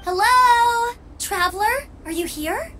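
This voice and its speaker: A woman's voice: very high voice, lively intonation, slow pace, pushed and bright, heavily acted. A woman voicing a friendly, upbeat, helpful teenage girl scout in a video game. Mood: cheerful. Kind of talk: video game dramatic dialogue. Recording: music under the voice.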